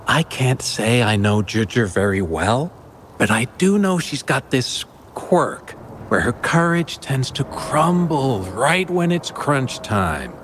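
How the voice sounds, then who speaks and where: An actor voicing a friendly, easygoing merchant in a video game, teasing and lively. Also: male voice, mid-range voice, slow, slight background noise.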